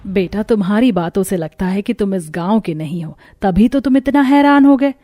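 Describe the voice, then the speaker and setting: A woman's voice: medium pitch, expressive, normal speed, mellow, close to an everyday voice. A woman playing a motherly village wife in a Hindi cartoon story, talking in an explanatory way. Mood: motherly, explaining.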